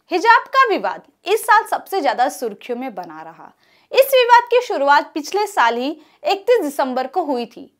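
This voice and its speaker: A woman reading scripted news narration as a presenter, in a formal, emphatic delivery. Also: high-pitched.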